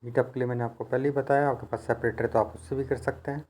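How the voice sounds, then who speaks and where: A man's voice: medium pitch, flat delivery, fast, darkish tone. A man giving hands-on instructional narration as a repair technician, explaining things in a patient, practical, conversational way.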